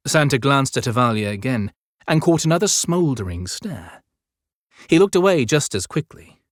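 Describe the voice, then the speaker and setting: Animated male audiobook narrator, dry sound. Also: medium pitch, moderate pace, no room sound. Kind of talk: scripted fiction narration.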